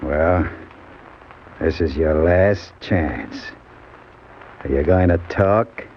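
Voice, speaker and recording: A man's voice: a bass voice, drawn-out words, vocal fry, faint music behind, heavily acted. A man acting a cruel, menacing gangster husband in an old-time radio crime drama, giving short threatening commands. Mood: cold menace. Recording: dull, slightly noisy old radio recording.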